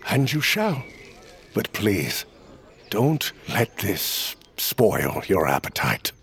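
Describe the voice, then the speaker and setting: A man playing a calm rebel chief in a fantasy audio drama, deliberate and courteous with menace underneath. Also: on the low side, vocal fry, husky, full character voice. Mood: calm, ominous.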